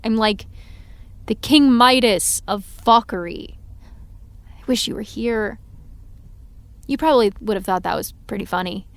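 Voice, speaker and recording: A woman's voice: on the high side, a little slow, creaky, pauses often, dry recording. An actress playing an anxious, self-deprecating young woman who talks in a nervous, rambling way. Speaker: actor in audio drama.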